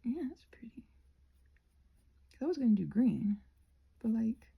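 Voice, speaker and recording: A woman rambling casually as she crafts for her ASMR channel, halting and self-deprecating, with a husky voice that often drops toward a whisper. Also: on the high side, sing-song, phone-quality sound. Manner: halting, meandering, self-deprecating, searching for words.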